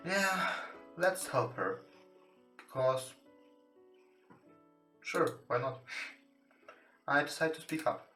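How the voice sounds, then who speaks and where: A man who is not a native English speaker, casually commentating on a game and reading its text aloud in hesitant, halting English. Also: fairly high pitch, very animated. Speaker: let's play host. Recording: roomy, distant mic with music behind. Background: soft guitar game music.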